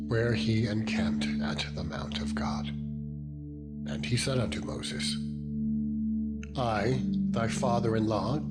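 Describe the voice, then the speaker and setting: A man reading scripture as a dramatized narration in a solemn, measured, deliberate manner. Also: fairly low pitch. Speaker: Bible narrator. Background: background music with tuning-fork-like tones.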